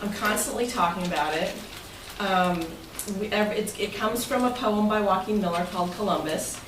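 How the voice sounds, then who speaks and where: A woman's voice: mid-range voice, normal speed. A woman speaking as club president, giving an informal, playful presentation to a meeting and inviting answers. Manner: chatty and playful, jokes and invites audience answers. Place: meeting room, voice picked up at a distance. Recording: echoey noisy meeting room, distant mic.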